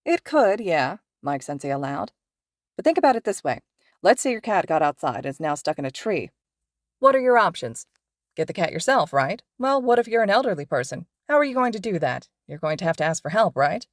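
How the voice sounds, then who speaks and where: A woman voicing a loud, cheerful male hero teacher with an exuberant, projecting manner. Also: fairly low pitch, sing-song, a little fast, no echo, studio quality. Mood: upbeat.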